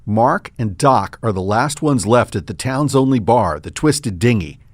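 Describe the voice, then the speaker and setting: A man's voice: mid-range voice, expressive, a little slow, dry recording, studio quality. A man playing a dramatic, tongue-in-cheek storyteller, reading scripted narration for a comedic audio drama. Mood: mock-solemn.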